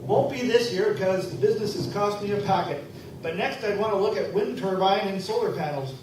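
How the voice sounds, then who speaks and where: A man's voice: high voice, fairly quick, close to an everyday voice. An actor playing a practical young townsman in a live radio play, earnest and a bit hesitant. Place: convention hall. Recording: very echoey hall, from far off, noisy.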